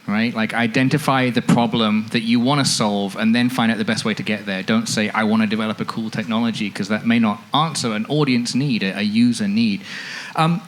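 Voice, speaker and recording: A man moderating a panel discussion, asking fluent questions into a handheld mic at an indoor event. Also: mid-range voice. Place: indoor event stage with audience. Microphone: handheld microphone.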